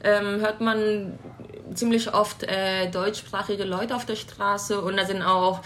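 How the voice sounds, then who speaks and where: A woman in her twenties, a guest on an interview podcast, speaking at her home in a relaxed way, laughing lightly, with some fillers. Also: medium pitch, slow, a little hiss or hum. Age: 20s. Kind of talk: casual interview chat. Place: her home in a city flat.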